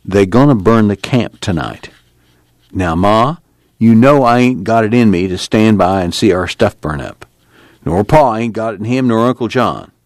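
A man's voice: fairly low pitch, lively intonation, normal speed, darkish tone, no echo, natural voice. A man reading the part of a determined young farm man with blunt rural speech. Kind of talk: reading a novel aloud.

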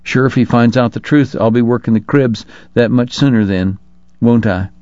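A man's voice: mid-range voice, normal speed, a little mellow, dry recording, close to an everyday voice. A male narrator acts out a worn-out young woman of the frontier for a Western novel. The girl speaks plainly and wearily. The recording is dry and a little dull. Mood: weary, bitter. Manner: weary, plain-spoken. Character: tired, jaded teenage frontier prostitute girl confessing.

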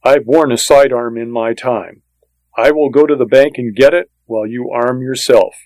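A man voicing a proud, indignant older gentleman in a western story, speaking formally and scornfully. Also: mid-range voice, steady tone, normal speed, no echo, lightly acted, poor audio.

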